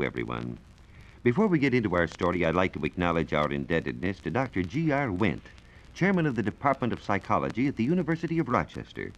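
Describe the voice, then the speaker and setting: An American man working as a science reporter gives a formal, measured, scripted explanation in the style of 1940s educational radio. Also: on the low side. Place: radio studio. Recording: dull, lo-fi 1940s radio recording.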